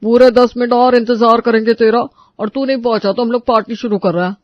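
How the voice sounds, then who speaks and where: A woman's voice: on the high side, fairly even intonation, a little fast, dry recording, muffled recording, close to an everyday voice. A woman voicing a cheeky, impatient male drinking buddy who teases his friend in a comic audio story. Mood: impatient urging. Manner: teasing, impatient.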